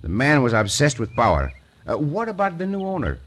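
An actor playing a gallant, courtly Mexican cowboy hero in an old radio western, with a Mexican accent. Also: male voice, mid-range voice, huge pitch swings, fairly quick, fairly bright tone. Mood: courteous interest. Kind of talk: 1940s-50s radio western acting. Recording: noisy old radio recording.

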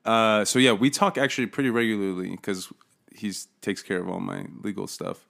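A man who grew up overseas, a podcast guest telling his own story in a casual, somewhat halting way. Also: on the low side, little pitch movement, gravelly, a bit bright. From grew up overseas, in Russia, China, Taiwan and Uzbekistan.

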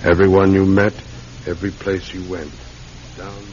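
A man's voice: low voice, flat delivery, moderate pace, close to an everyday voice. A man acting an earnest, methodical Scotland Yard sergeant in a classic radio drama, questioning politely and insistently. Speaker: actor in a radio mystery serial. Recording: muffled old radio recording with faint hiss.

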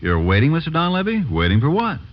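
A man's voice: a little high, huge pitch swings, fast talker. An American man as a commercial announcer, doing scripted sponsor banter in 1940s radio style. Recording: dull vintage radio, faint music.

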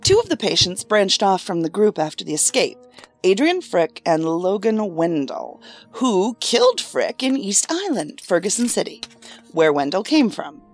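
A woman playing a brisk, skeptical federal investigator in an audio drama, terse, dry and questioning. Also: medium pitch, big pitch swings, soft background music. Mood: impatient.

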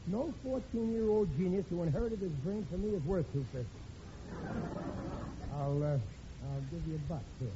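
A man's voice: high voice, normal speed, pauses often, mellow. A middle-aged actor playing a blustering, cheapskate working-class husband and father in a 1940s radio comedy, cocky and ungrammatical. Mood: scheming. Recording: muffled, noisy old radio broadcast.

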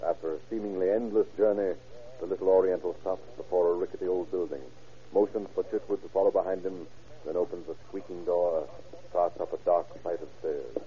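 Male narrator, lower register, scripted and dramatic, muffled 1940s radio sound. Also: fairly even intonation, mellow. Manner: measured, dramatic storytelling. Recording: muffled old radio recording.

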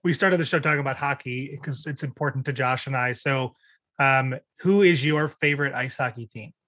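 A man's voice: medium pitch, moderate pace, sharp tone, dry recording. A man co-hosting a podcast, asking questions and teasing lightly in casual chat. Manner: laughs easily, light teasing. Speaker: podcast co-host.

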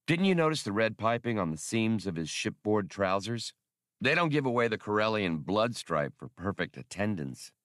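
A man's voice: on the low side, big pitch swings, normal speed, a bit bright, no echo, clean studio sound. A man voicing a seasoned, easygoing ex-officer in a space adventure audiobook, spinning yarns with wry mildness. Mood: mild, amused. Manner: wry, mild storytelling. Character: seasoned, easygoing male ex-officer telling old war stories.

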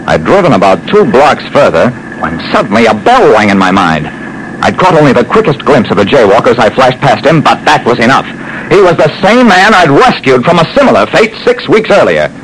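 A man playing a tough, wry private detective who narrates his cases in dramatic vintage radio style. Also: on the high side. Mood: dry, wry. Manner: wry, dramatic, clipped storytelling. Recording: old radio recording with faint noise.

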